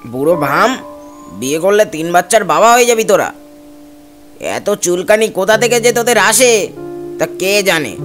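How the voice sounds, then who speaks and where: A man voices a blunt villager in a cartoon ghost story. His high voice is scornful and dismissive, and music plays clearly behind it. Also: sing-song, moderate pace, long pauses, fairly bright tone. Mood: scolding. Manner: scornful, chiding. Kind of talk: animated horror story acting.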